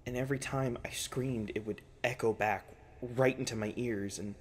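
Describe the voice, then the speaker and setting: A man's voice: mid-range voice, steady tone, lightly acted. Man acting as shaken, stammering researcher, noisy background. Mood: haunted, distressed. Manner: halting, stammering, faltering delivery. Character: shaken, traumatized male researcher recounting torment. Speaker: voice actor in an audio roleplay. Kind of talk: ASMR roleplay acting, intimate monologue.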